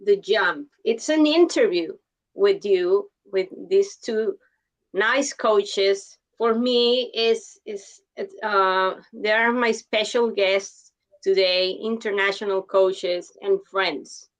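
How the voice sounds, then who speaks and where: Slow, measured woman host. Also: medium pitch. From Mexico. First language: Spanish. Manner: deliberate, measured introductions. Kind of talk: live online interview.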